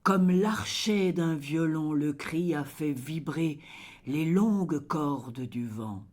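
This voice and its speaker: A reader recites poetry at an extremely slow pace in a mid-range voice. The delivery is solemn and dramatic, each line carefully measured. Phrases often sink into a hush at their close. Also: medium pitch.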